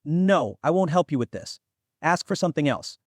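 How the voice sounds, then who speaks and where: Cautious young demigod, voiced by a man: earnest, easily offended, fairly high male voice, fantasy audiobook. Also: expressive, normal speed, no room sound, studio quality. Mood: firm refusal. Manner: earnest, protesting. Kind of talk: fantasy audiobook character acting.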